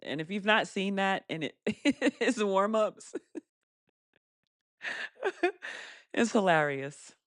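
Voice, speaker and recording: A woman with a fairly deep voice hosting a casual live stream Q&A. She laughs often and reads viewer comments aloud. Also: lively intonation, drawn-out words, pauses often, fairly bright tone, dry recording, clean studio sound. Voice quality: deeper voice for a woman.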